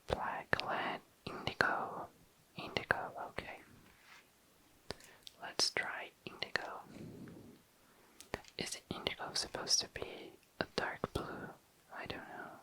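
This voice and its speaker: An ASMR host whispering in a breathy, unvoiced voice, musing casually and tentatively, close to the mic. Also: sharp tone, poor audio. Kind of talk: whispered ASMR, casual makeup swatching narration.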